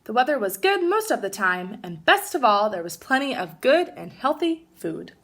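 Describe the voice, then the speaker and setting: A woman teacher reading a children's storybook aloud in an expressive narrating style. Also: fairly high pitch, moderate pace.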